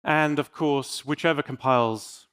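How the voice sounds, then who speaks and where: A man's voice: a little high, unhurried, a bit bright, clear, resonant tone. A man giving an informal conference talk on tech in a playful, dryly joking and mock-defiant manner. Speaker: conference speaker.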